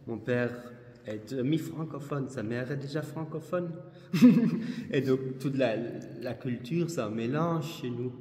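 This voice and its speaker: A man's voice: mid-range voice, a little slow, mellow. A Belgian artist talking hesitantly about his work in an interview, searching for words and restarting often. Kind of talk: casual interview in a gallery. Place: large exhibition hall. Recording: roomy hall with faint ambience.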